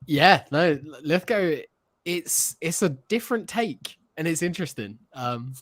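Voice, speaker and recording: A man's voice: fairly high pitch, lively intonation, a little slow, a bit bright. A man from southern England with a southern English accent, chatting casually as a podcast host. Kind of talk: casual chat.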